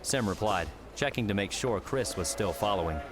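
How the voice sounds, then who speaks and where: A man's voice: lowish voice, expressive, moderate pace, background noise. A man reading scripted audiobook narration as the narrator of a novel. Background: soft music.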